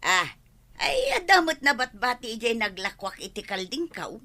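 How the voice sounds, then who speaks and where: An actress playing a pushy, scheming village wife with a bossy, insistent manner in a radio comedy drama. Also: female voice, a little high, big pitch swings, moderate pace, sharp tone.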